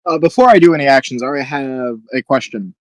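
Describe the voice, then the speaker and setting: A man's voice: mid-range voice, fast, no echo, low-quality recording. A man chatting casually as a player in a tabletop role-playing game, asking questions and stating his character's moves.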